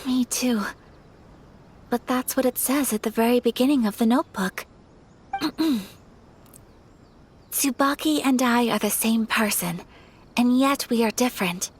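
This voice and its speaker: An actress voicing a graceful young noblewoman in a game, soft and measured, quietly wistful. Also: fairly high pitch, breathy edge. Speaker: noble daughter of a clan. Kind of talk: game voice acting.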